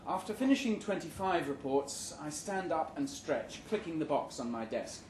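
Guy reading a satirical story live, deadpan, slightly high voice, echoey room w some background noise. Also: normal speed. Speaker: short story reader. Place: indoor live literary event venue. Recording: roomy, noisy live venue recording.

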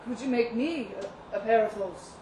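A woman reading the part of a shy, courteous Norwegian fisherman with slow, halting speech in a live story reading. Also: a little high, close to an everyday voice. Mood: halting request. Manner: slow, halting, short replies. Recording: roomy, distant mic with audible noise.

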